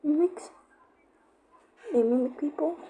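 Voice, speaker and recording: A female speaker giving a casual, informal explanation, often halting and searching for words. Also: high-pitched, mellow. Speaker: YouTuber explaining horror lore.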